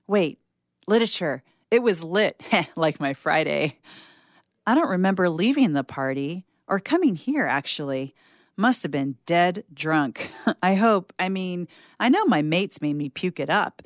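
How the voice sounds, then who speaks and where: A woman acts out a stressed young university student in a story monologue. The voice is on the low side and dry, rambling in slang with sardonic, self-mocking humor. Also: no echo. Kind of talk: dramatic monologue reading.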